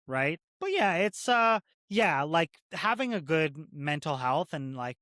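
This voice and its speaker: A man's voice: a little high, sing-song, measured pace, sharp tone, no echo, studio quality. Chatty man full of "like" and "right?". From Canada. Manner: rambling, many "like" and "right?" fillers. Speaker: corrections officer guest. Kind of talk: casual interview conversation.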